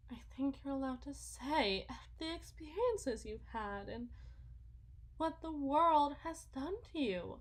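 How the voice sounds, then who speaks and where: A woman playing a delusional, condescending, possessive wealthy mother in a theatrical ASMR roleplay, phrasing everything in a drawn-out, deliberate way. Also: sits high, a bit bright, full character voice. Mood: patronizing, sweetly belittling. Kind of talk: ASMR roleplay, dramatic monologue.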